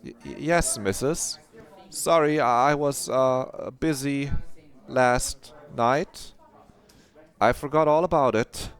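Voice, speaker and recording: A man's voice: mid-range voice, takes it slowly, smooth, pitched above the performer's own voice. A man voicing an anxious teenage schoolgirl who stammers through an excuse. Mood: flustered.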